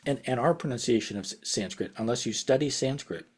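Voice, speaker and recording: An older man teaching casually over a video call from his home study. His talk rambles, with restarts, chuckles and phrases that trail off softly. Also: medium pitch, fast. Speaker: meditation teacher. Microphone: video call webcam.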